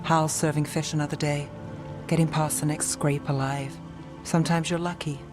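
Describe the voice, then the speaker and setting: A woman's voice: low voice, level tone, moderate pace, lightly acted. Woman acting city investigator, guarded, dry, world-weary, understated, game dialogue with music behind. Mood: world-weary, wry. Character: wry, guarded female city private investigator. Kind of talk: dramatic video game dialogue.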